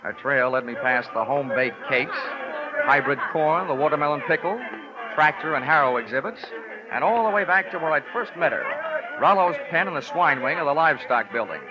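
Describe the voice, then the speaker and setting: A man's voice: fairly high pitch, animated, a little fast, a crowd in the background, lightly acted. An American man playing a wry, sardonic insurance investigator in mid-century radio detective drama. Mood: dry narration. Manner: wry, sardonic, dry wit. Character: wisecracking, cynical male insurance investigator narrating his case. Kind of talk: 1940s-50s radio detective drama acting. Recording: muffled old radio recording with music.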